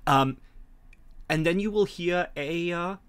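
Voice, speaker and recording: A middle-aged man narrating a tabletop game as game master, conversational and halting as he thinks it through. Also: sits high, a bit bright, smooth. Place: home room, indoors, on a video call.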